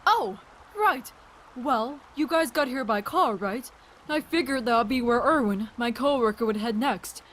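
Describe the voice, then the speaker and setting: A woman's voice: on the high side, lively intonation, measured pace, fairly bright tone, slight background noise. A woman playing a friendly, curious doctor in an audio drama, chatty and reassuring. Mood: earnest, concerned. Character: friendly, curious adult doctor and virus researcher.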